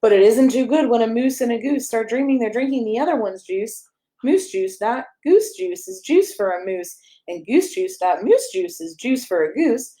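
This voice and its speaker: A woman's voice: on the high side, expressive, normal speed, warm tone. A woman reading a rhyming children's picture book aloud as a storyteller, in a playful, sing-song way with emphasis on the rhymes.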